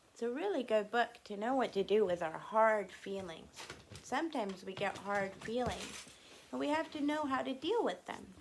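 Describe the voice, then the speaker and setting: A woman teacher reading aloud to young children, explaining things gently in simple, instructive phrases. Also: medium pitch, slight background noise.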